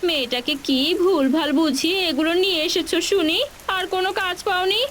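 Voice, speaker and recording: A woman playing a practical village wife and mother in a cartoon fairy tale, exclaiming and quick to nag. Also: extremely high pitch. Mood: scolding. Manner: sharp-tongued, exclaiming, nagging. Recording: faint rain and hiss behind.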